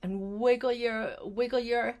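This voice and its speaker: Slow, gentle older woman reading aloud. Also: a little high, steady tone. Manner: gentle, unhurried, coaxing, addresses the child directly. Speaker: grandmother reading a picture book aloud. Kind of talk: read-aloud storytelling to a small child, with chatty asides.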